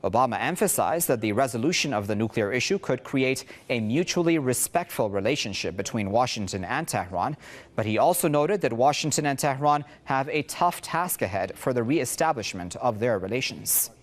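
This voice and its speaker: A man's voice: mid-range voice, lively intonation, moderate pace. A man presenting the news, reading reports and putting questions to a guest in a broadcast style.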